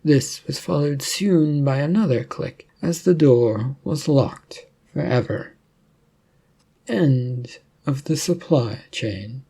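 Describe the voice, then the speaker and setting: A man's voice: mid-range voice, slow, creaky, dark tone, breathy edge. A man narrating a fictional story as a storyteller, reading in an informal way.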